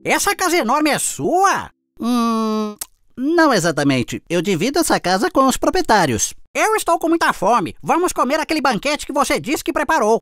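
A woman voicing excitable, animated mice in a children's cartoon fable. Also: sits high, moderate pace, no echo, faint music behind. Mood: eager. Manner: exclaiming, animated. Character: excitable cartoon mice, country and city friends.

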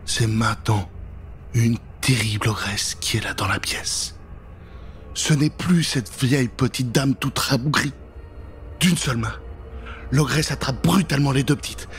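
A man's voice: mid-range voice, a little slow. A middle-aged man telling a scary children's tale in a theatrical, suspenseful way, close on a studio mic. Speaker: storyteller. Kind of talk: dramatic storytelling for children. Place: small indoor recording set. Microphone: studio mic with pop filter, close. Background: music.

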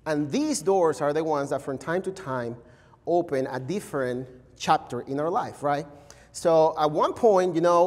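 Spanish-accented man, 30s, guest preacher; casual, tagging "right?"; lifted pitch, long church reverb. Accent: Venezuelan Spanish. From Venezuela. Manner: casual, chatty, frequent "right?". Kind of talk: sermon. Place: large church sanctuary. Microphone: podium mic, standing at pulpit.

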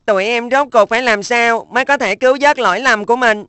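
A woman's voice: fairly high pitch, fast, a bit bright, smooth, no room sound, close to an everyday voice. A woman voicing an earnest, respectful young boy in a cartoon dub. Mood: pleading. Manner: earnest, childlike.

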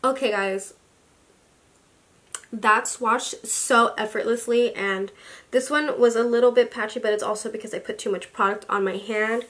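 A woman's voice: a little high, steady tone, moderate pace, a bit bright. Gushing chatty young woman doing a makeup haul. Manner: gushing, chatty, with many likes and exclamations. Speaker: beauty vlogger. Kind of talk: casual makeup haul chat. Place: small home room with a vanity, indoors. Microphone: camera at desk distance.

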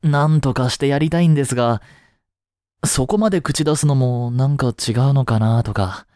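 A man playing a caring, easygoing high school teacher in a voice drama, speaking conversationally with gentle concern. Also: moderate pace, no echo, studio quality, close to an everyday voice. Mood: worried, polite. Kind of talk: scripted Japanese voice drama acting.

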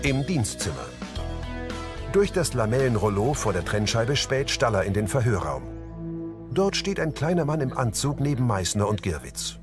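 A man's voice: medium pitch, very animated, moderate pace, over music. A man giving concise, neutral scripted narration of on-screen action as an audio describer.

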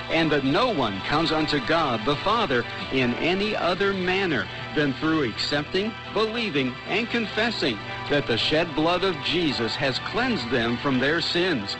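A man reading scripted announcements for a religious radio station in a formal, measured way. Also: fairly high pitch, poor audio. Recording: shortwave radio with music behind. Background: music bed.

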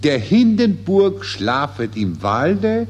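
An actor playing a vain, eager Viennese councillor who writes war verse, in a radio play with self-important, declaiming delivery. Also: male voice, a little high, big pitch swings, slow pace, a little mellow, husky, exaggerated voice. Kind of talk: mid-20th-century radio play acting.

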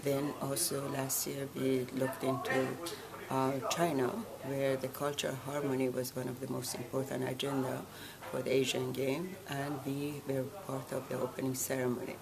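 A woman in her sixties from Iran gives formal interview answers in a flat, even voice. She speaks in a measured way, pausing often between phrases. A murmur of a crowd sits behind her close webcam sound. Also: extremely low pitch, vocal fry. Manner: measured, deliberate, with frequent pauses and fillers. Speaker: guest, association president.

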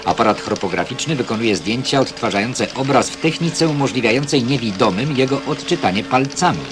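A man's voice: mid-range voice, expressive, fairly quick. A man reading scripted newsreel narration with a wry touch. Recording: old film newsreel soundtrack with music. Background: music.

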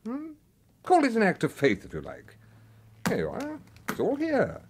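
An actor playing a sly, clubby British intelligence officer in a radio crime drama, hesitant and insinuating. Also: male voice, high voice, wildly swooping pitch, normal speed, slight background noise, full character voice. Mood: coaxing. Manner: hesitant, insinuating, repeats himself.

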